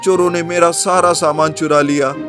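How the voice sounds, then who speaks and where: A man's voice: medium pitch, moderate pace, over music, natural voice. Man acting an earnest, simple-hearted cartoon cart driver. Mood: distressed. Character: naive, kind-hearted male cart driver, easily wronged. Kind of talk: animated storybook character voice.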